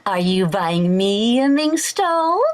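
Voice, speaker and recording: A woman's voice: mid-range voice, very animated, slow pace. A woman playing a playful, scheming, wheedling housewife in a 1940s-style radio comedy, coaxing and theatrical.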